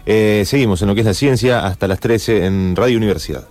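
Low-voiced radio host. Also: male voice, a little fast, darkish tone. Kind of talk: casual radio chat.

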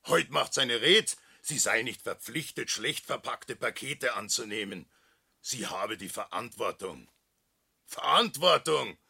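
Gravelly, blustering Austrian village grocer. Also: male voice, mid-range voice, lively intonation, unhurried, sharp tone, heavily acted. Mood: indignant. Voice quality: gravelly, raspy. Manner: ranting, emphatic. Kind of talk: German radio play acting, rural dialect.

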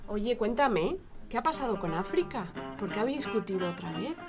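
An actress with a Spain accent playing a gentle, earnest woman, an affectionate partner and confidante, in an audio drama. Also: mid-range voice, very animated, brisk, music in the background. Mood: concerned. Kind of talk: audio fiction podcast acting.

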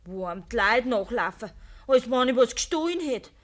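A woman as a high-voiced, sullen Bavarian daughter. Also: expressive, moderate pace, lightly acted. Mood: sullen, defiant. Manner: sulky, terse, defensive. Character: sullen, defiant young peasant daughter back in disgrace. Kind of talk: naturalistic dialect radio drama acting.

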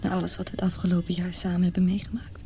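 An actress playing a wounded, bitter mistress in her thirties in a radio drama, speaking in a breathy, halting, often whispered voice. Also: on the low side, completely flat delivery, dark tone, slight background noise, close to an everyday voice. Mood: desperate pleading. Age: 30s. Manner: slow, halting, anguished. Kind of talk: radio drama acting, intimate inner monologue.

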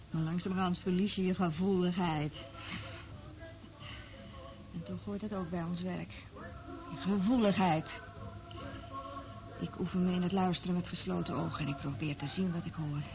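A woman's voice: lowish voice, fairly even intonation, measured pace, long pauses, music in the background. Dreamy female listener, thin tinny sound. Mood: soothing, dreamy. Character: dreamy, sensitive experienced female surveillance listener. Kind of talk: radio play acting. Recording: tinny band-limited old radio recording.

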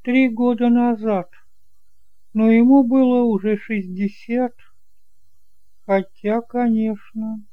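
Audiobook drama role: fussy, solicitous middle-aged widowed aunt male performer, raised high voice, emphatic, very dry sound. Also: flat delivery, slow pace, long pauses, clean tone, dry recording, phone-quality sound. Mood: sweet, affectionate. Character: genteel middle-aged widowed aunt, sweetly insistent and gossipy.

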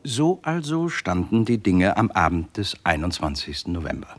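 Detective story narrator, lowish voice, very varied intonation, formal, precise, factual, scripted radio play. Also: male voice, very animated, moderate pace.

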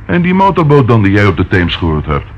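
A keen detective in a radio drama, his lowish voice swinging expressively up and down. He theorises eagerly. The old recording sounds muffled. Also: huge pitch swings, fast talker, a little hiss or hum. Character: keen, speculative police detective colleague. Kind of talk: radio play acting.

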